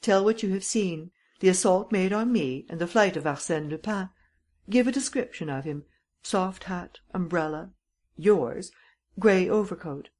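A woman voicing a cool, cunning gentleman thief who gives brisk, commanding instructions. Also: fairly low pitch, lively intonation, voice pushed up.

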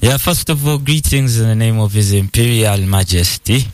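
A man from Uganda with a Ugandan accent, chatting casually as a musician guest in a laid-back, halting way. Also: fairly low pitch, darkish tone. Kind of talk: casual interview chat.

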